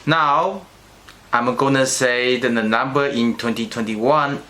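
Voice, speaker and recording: Slow-speaking middle-aged man, Chinese accent. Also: medium pitch, pushed and bright. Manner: slow and careful, with restarts on small words. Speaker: channel host. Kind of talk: personal vlog monologue.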